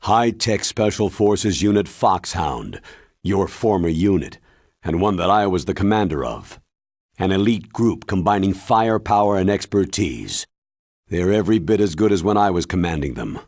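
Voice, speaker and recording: A deep, grave military commander. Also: male voice, animated, darkish tone, no room sound, studio quality. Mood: grave, dramatic. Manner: deliberate, emphatic. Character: grave, authoritative older male military commander giving briefings. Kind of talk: video game cutscene acting.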